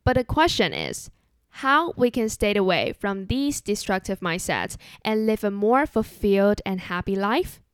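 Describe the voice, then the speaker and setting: A young woman speaks with a Chinese accent, hosting her own podcast in a calm, earnest and conversational way. Her voice is smooth and clear at a middle pitch. It is recorded close and dry, with no room sound. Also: dry recording. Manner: earnest, measured, relaxed and conversational. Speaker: podcast host. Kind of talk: solo podcast monologue.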